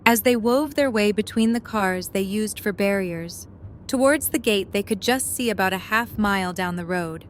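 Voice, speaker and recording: A woman narrates an audio drama in scripted storytelling style. Her voice sits at a medium pitch, with faint vehicle ambience behind it. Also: normal speed, a little hiss or hum.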